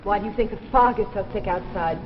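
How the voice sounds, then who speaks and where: An actress playing the earnest, urgent daughter of a future scientist, in dramatic 1950s sci-fi style. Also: female voice, medium pitch, quick pace, lightly acted. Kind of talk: 1950s radio-era sci-fi drama acting. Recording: dull vintage broadcast with music behind.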